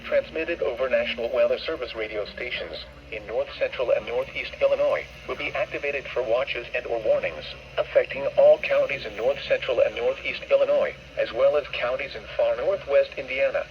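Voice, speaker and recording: A computer-made male voice reading an automated weather broadcast in a flat, measured, word-by-word way. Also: mid-range voice. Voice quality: even, synthetic text-to-speech delivery. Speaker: weather radio announcer. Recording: dull, hissy radio receiver recording.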